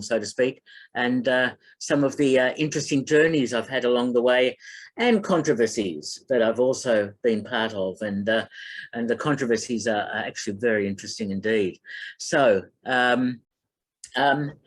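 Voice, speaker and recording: Older Australian man, halting filler-rich talk. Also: mid-range voice. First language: German. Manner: rambling with many fillers and restarts. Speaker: film critic and speaker. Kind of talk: online talk about his life.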